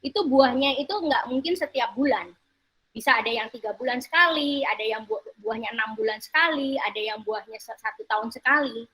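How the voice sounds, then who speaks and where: Lady pastor giving a sermon, high bright forceful voice, keeps repeating phrases. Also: brisk, low-quality recording. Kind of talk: sermon with slides and scripture reading.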